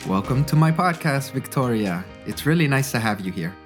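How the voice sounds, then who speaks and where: A man's voice: medium pitch, expressive, normal speed, music in the background. A man from Canada hosting a podcast, asking friendly, curious questions. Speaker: podcast host. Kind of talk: casual interview.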